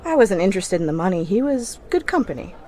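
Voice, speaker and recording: A woman's voice: medium pitch, very animated, fast, warm tone, close to an everyday voice. A woman playing a coy, mysterious, alluring and unruffled lady at a bar in 1940s radio comedy style.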